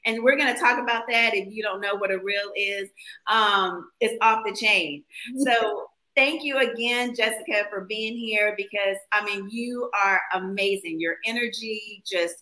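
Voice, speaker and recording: A woman hosting a motivational talk show, effusive and animated, addressing viewers casually. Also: medium pitch, unhurried, reverberant, poor audio. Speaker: host.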